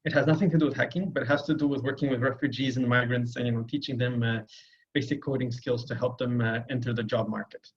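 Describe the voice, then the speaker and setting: A young man from Germany speaks in a relaxed manner, with a few pauses. The audio is dull and lo-fi, as from a video call. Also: medium pitch. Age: 20s.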